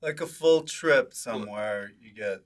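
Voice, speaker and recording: A man hosting a podcast, chatting casually and jokingly, prone to breaking into shouted comic bits. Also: lowish voice, lively intonation, measured pace. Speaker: podcast host.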